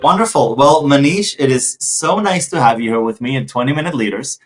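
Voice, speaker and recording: A man speaks as the host of a conversational interview. His pitch is in the middle male range, and he feels his way into questions haltingly, doubling back on words as he goes. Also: expressive, music in the background. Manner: halting, repeats words while forming questions.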